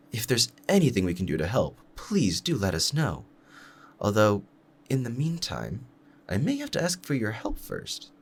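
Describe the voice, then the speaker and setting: A man's voice: medium pitch, vocal fry, husky, voice pushed up, full character voice. Man putting on a polite, eager-to-please shopkeeper, lilting. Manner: courteous, formal, apologetic. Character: polite, deferential male storekeeper, an assassin's disguise. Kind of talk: scripted audio roleplay addressed to the listener.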